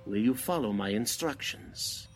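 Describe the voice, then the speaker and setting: An actor playing a sinister cult leader in a 1940s-style radio drama, commanding and falsely courteous as he hypnotizes with deliberate speech. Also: medium pitch, animated, long reverb tail, a little hiss or hum, natural voice. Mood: commanding, menacing.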